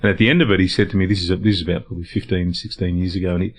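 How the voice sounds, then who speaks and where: A middle-aged man talks in a deep voice. He speaks reflectively in a casual interview and often restarts his sentences or repeats words. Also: steady tone, rapid-fire. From Lebanon, raised in Australia. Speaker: guest, founder of a men's health organisation.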